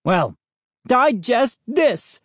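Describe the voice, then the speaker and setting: A narrator voicing a furious middle-aged dad in a storybook, bitter and accusing, with shouted outbursts. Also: medium pitch, big pitch swings, normal speed, dry recording, studio quality.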